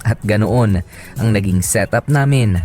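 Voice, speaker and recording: A man's voice: on the low side, unhurried, darkish tone, faint music behind. A man with a Filipino accent who works as a radio host and storyteller. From Philippines. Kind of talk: dramatic story narration and casual radio banter.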